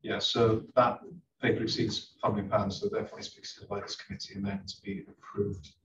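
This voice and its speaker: A man's voice: on the low side, level tone, brisk, lo-fi sound. Male secretary, hesitant, distant noisy room mic. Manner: hesitant, checking details. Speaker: committee secretary. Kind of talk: meeting business. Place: large meeting room. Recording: echoey room from far off, noisy.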